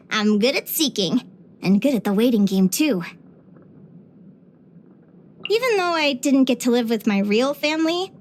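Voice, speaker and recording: High-voiced bubbly young adventurer girl. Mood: curious, confident, then tender, reflective. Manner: expressive, chirpy, with sighs and giggles. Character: bubbly young female adventurer and naturalist, curious and sweet. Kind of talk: scripted video game character voice lines.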